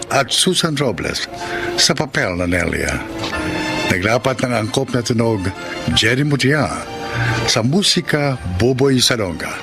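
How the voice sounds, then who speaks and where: A man's voice: medium pitch, animated, measured pace, creaky. A man reading scripted narration as a radio drama announcer, in a formal, solemn manner. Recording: loud music under the voice.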